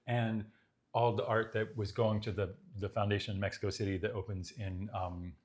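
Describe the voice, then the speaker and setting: Low-voiced man chatting loosely at home. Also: moderate pace. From Boston, Massachusetts. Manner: relaxed, rambling, many fillers and restarts. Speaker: art collector guest. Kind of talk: casual conversation. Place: his apartment.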